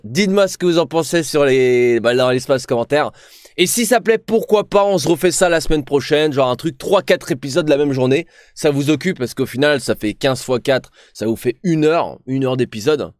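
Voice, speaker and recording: A man's voice: medium pitch, no room sound. Slangy young man, dry close sound. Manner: loose, rambling, blunt, peppered with slang. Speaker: streamer reacting to a TV show. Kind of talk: casual slangy reaction commentary.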